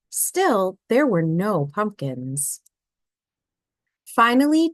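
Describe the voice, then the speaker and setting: A woman reads a children's picture book at an unhurried pace. Her voice rises and falls in a lively way. The sound is dry and close to the mic. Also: fairly low pitch, no room sound. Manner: expressive, storybook tone. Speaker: read-aloud narrator.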